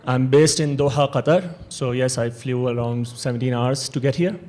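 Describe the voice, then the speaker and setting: A man with a Pakistani accent talks through his work as a conference panelist. His pitch sits in the middle range, and his delivery is relaxed, with frequent fillers as he goes. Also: normal speed. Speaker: panelist, airline email marketing manager.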